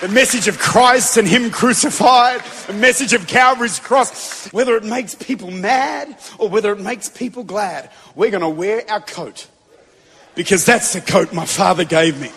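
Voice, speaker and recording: Fervent, high-voiced male preacher. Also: normal speed, a faint crowd, slight background noise. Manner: fervent, building to shouted declarations. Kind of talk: Pentecostal sermon. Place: large church before a congregation. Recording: long reverb of a big hall.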